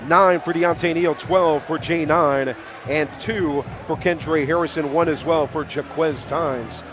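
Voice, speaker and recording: Guy doing live hoops play by play, kinda high voice, upbeat and chatty, projecting in a school gym. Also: fairly even intonation, unhurried. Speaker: sports play-by-play announcer. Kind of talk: live basketball commentary. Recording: live courtside gym broadcast.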